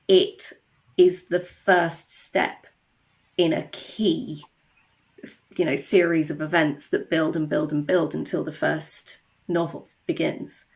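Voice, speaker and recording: A woman with a fairly low voice and noticeable vocal fry speaks as a podcast guest. She talks in an informal, conversational way about her work. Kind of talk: conversational interview.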